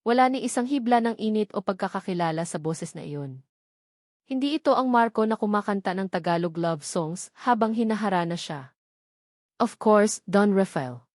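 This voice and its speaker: A woman's voice: mid-range voice, animated, brisk, darkish tone, no room sound, clean studio sound. A woman reading a dramatic scripted narration of a romance story.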